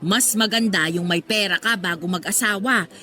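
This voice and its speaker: A woman's voice: mid-range voice, moderate pace, sharp tone, faint music behind, natural voice. A woman playing a chatty, prying, well-meaning older neighbour with a Filipino accent in a radio drama. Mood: chatty, advising.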